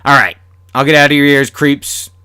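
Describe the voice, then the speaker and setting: A man's voice: on the high side, steady tone, moderate pace, bright, forceful tone, clean tone, no room sound, clean studio sound. A man hosting a horror podcast, chatting casually to listeners in a rambling, repetitive, sweary way. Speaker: horror podcast host and writer. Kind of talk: casual solo podcast chat.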